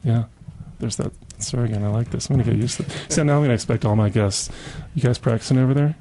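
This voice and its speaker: A man's voice: mid-range voice, quick pace, dark tone. An American man hosting a casual community radio interview, chatting and murmuring agreement as he goes. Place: radio studio.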